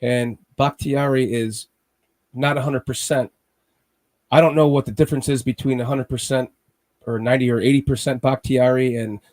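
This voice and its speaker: Man, podcast host, mild cold, slightly raspy, rambling, restarts sentences, mid pitch. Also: fairly even intonation, moderate pace, darkish tone. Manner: rambling, restarts often, nervous fan talk. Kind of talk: casual sports podcast chat.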